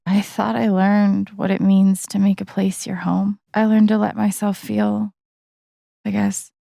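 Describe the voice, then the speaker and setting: A woman's voice: medium pitch, gravelly, warm tone, no echo, studio quality. A woman voicing an earnest young woman in a video game, heartfelt and hesitant, with raw emotion in a naturalistic dramatic style. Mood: subdued, reflective.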